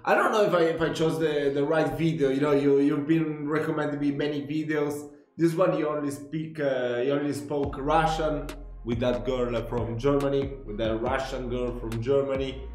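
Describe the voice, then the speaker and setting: A man's voice: a little high, moderate pace, soft background music. Italian-accented young man reacting, roomy. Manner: laughs often, animated, talks with his hands. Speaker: reaction channel host. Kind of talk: casual reaction commentary. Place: small room at home, indoors.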